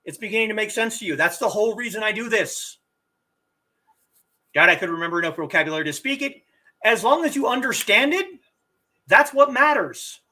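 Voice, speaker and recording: Animated middle-aged martial arts teacher. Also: mid-range voice. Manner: animated, drawn-out demonstrations of word sounds. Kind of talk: casual live-stream chat answering viewer comments.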